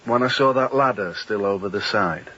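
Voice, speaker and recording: An actor playing a ship's second mate, dutiful and formal with a dryly insolent edge, in radio drama style. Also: male voice, on the low side, normal speed, pushed and bright, muffled recording. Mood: formal, dryly teasing.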